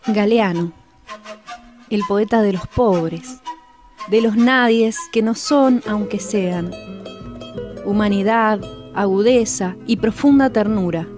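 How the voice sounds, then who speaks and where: A woman reading a scripted tribute narration in a soft, measured, poetic way. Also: medium pitch, faint music behind.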